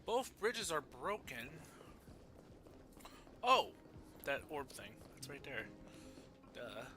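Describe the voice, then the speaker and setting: A man's voice: on the high side, sing-song, takes it slowly, pushed and bright, slight background noise. A man streaming a video game, giving casual, profane commentary as he mutters to himself. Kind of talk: casual live gameplay commentary. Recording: game music behind voice. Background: game music.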